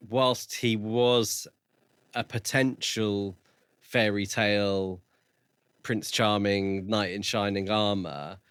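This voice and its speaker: A man co-hosting a podcast, discussing a book casually in a hesitant, measured way. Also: on the low side, steady tone, a bit bright, clear, resonant tone, dry recording, clean studio sound. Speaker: podcast co-host reviewing a book.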